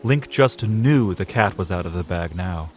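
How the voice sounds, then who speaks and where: A man with a fairly low voice narrates a fantasy audio drama. He reads scripted prose as a storyteller, telling the tale between the characters' lines. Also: expressive, moderate pace, soft background music.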